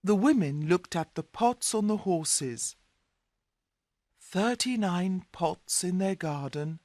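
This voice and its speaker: A man reading a simple scripted story for English learners in deliberate, clearly separated phrases. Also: sits high, lively intonation, a little mellow, no echo.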